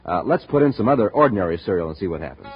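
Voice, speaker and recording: An American man announcing in scripted 1950s radio style, with a showman's pitch aimed at young listeners. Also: very animated, rapid-fire. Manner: showman's hard-sell delivery aimed at kids. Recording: dull, noisy 1950s radio recording.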